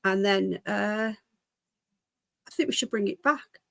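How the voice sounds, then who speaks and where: A woman's voice: medium pitch, unhurried, creaky, a bit bright, no room sound, studio quality. A British woman with a congested voice hosts a livestream in a casual, rambling way, chatting to viewers and easily drifting into asides. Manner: chatty, easily distracted, jumping between asides. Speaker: livestream host.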